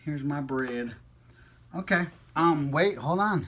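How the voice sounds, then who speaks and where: A man's voice: fairly high pitch, lively intonation, takes it slowly, a bit bright. A man vlogging casually over a meal, talking in an informal, slangy, teasing way. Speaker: mukbang vlogger. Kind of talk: casual chat while eating.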